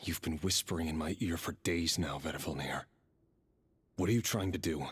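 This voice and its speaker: A very deep, husky brooding warrior. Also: male voice, moderate pace, fairly bright tone, exaggerated voice. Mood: defiant. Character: brooding, husky male warrior with secrets, haunted by voices. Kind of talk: dramatic video game acting.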